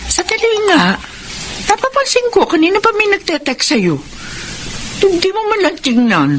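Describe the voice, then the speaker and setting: A woman's voice: extremely high pitch, sing-song, normal speed. A woman playing a chatty, nosy, teasing best friend in a Filipino radio drama, playful and exclamatory with a Filipino accent. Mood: curious. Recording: faintly noisy radio drama broadcast.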